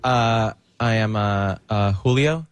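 A man performing a stand-up comedy bit, halting and hesitant, with many pauses and fillers. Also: lowish voice, smooth, no echo, faint music behind.

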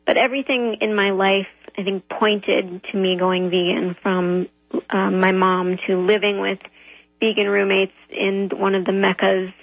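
A woman from Southern California, an author and illustrator, calmly answering interview questions over a phone line. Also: medium pitch, measured pace, no room sound. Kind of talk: radio interview.

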